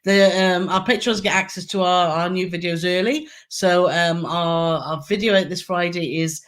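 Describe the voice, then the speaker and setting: A woman with a hoarse, croaky throat co-hosting a casual live Q&A, chatty and giggly as she reads out viewer comments. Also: on the low side, fairly even intonation, normal speed. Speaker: co-host of a travel channel live stream.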